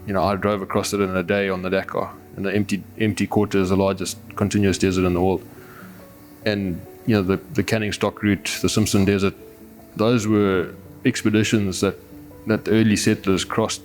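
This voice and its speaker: A man's voice: sits low, flat delivery, brisk. A man from Zimbabwe with a Zimbabwean accent, speaking earnestly and philosophically in a reflective, conversational documentary interview. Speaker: adventurer and overlander, interviewee. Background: music.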